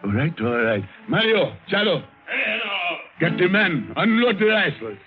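An actor playing a boastful, hard-drinking guerrilla general with a Spanish accent and commanding broken English in an old radio drama. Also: male voice, fairly high pitch, very animated, a little slow. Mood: commanding, boisterous. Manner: broken English, commanding, swaggering, repeats himself. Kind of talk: 1950s radio drama acting. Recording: tinny old radio broadcast, music behind.

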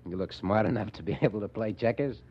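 Actor as a deep-voiced cocky gang boss. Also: male voice, fast talker, natural voice. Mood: cocky, amused. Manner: slangy, ungrammatical tough-guy talk with chuckles. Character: cocky, tough male gang boss, smitten and folksy. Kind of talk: 1940s radio acting. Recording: muffled old 1940s radio recording.

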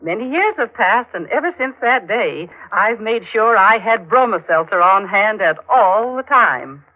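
A woman's voice: mid-range voice, lively intonation, measured pace. A woman giving a scripted testimonial in a 1940s radio commercial. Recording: tinny, narrow-band 1940s radio recording.

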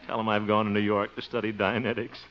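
An American man playing an excitable, hapless radio station man with theatrical, flustered exclamations in a 1950s radio comedy. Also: on the low side, fast talker, lightly acted. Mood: dejected. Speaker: star of a radio comedy show. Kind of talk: 1950s radio sitcom acting. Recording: noisy, dull old radio broadcast.

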